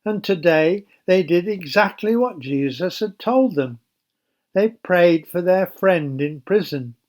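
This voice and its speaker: A man narrating a Bible story for children as a storyteller, in a simple, measured, explanatory way. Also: high voice, lively intonation, darkish tone.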